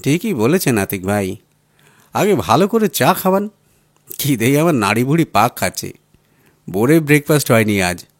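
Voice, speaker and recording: A man acts out a down-at-heel male writer out of work, talkative and apologetic, in an audiobook story. The pitch swings in a plaintive, familiar way, and the sound is dry. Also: sing-song, moderate pace, long pauses, no room sound. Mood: hungry, pleading. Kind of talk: audiobook character dialogue.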